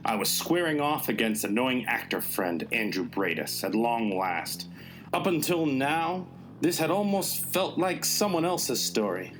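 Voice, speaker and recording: A man's voice: mid-range voice, fairly bright tone. A man playing an earnest hero who narrates a comic radio play with mock-serious suspense. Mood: brooding suspense. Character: earnest male hero narrating a final showdown. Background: music.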